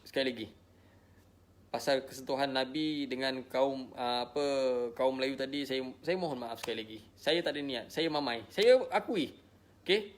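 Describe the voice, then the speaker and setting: A man's voice: mid-range voice, normal speed. A middle-aged Malaysian man speaking informally to his followers in a repetitive, emphatic way, often checking in with short tags. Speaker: social media personality addressing followers. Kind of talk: informal live monologue to followers.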